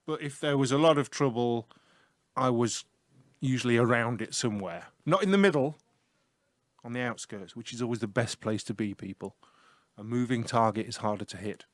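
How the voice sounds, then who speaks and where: A man's voice: mid-range voice, moderate pace, no echo, clean studio sound. A middle-aged man with a Yorkshire accent, an IT teacher hosting a casual chat and telling stories about his school days with humour. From north of England. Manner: chatty, humorous storyteller. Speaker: IT teacher and show host. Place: small room.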